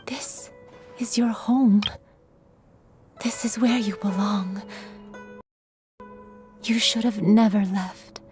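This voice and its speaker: Grieving fantasy woman, game drama , actress: earnest, pleading, poetic, drawn-out pacing, zither underneath. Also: mid-range voice, steady tone, darkish tone, lightly acted. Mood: pleading, sorrowful. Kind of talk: dramatic video game voice acting. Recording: plucked zither music behind the voice.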